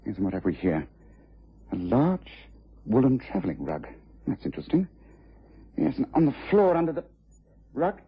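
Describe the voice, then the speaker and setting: Husky, precise English detective. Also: male voice, medium pitch, sing-song, full character voice. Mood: absorbed, investigative. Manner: precise, commanding, deliberate. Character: shrewd, methodical gentleman detective, sure of himself. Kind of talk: mid-20th century radio drama acting. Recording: dull, muffled vintage radio recording.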